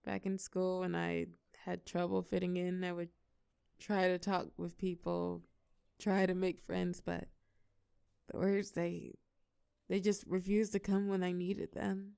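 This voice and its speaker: Girl voice actor doing a sweet shy nerdy young woman, romantic roleplay, hesitant n sincere, some vocal fry, very dry sound. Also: on the low side, level tone, warm tone, no echo. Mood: shy, vulnerable. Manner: hesitant, halting, earnest. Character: shy, sweet young woman, geeky collector, touched by confession. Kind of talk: intimate one-sided romantic audio roleplay.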